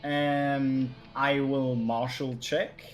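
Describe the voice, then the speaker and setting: Slow, halting tabletop player. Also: medium pitch, little pitch movement, faint music behind. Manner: halting, filler-heavy, searching for words. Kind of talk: halting in-character narration.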